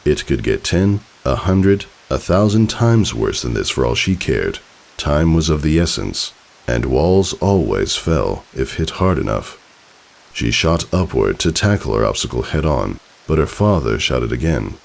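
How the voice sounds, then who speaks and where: A man reading a story aloud as narrator, in an expressive, theatrical, dramatic style. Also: extremely low pitch, unhurried, creaky. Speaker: fan fiction narrator.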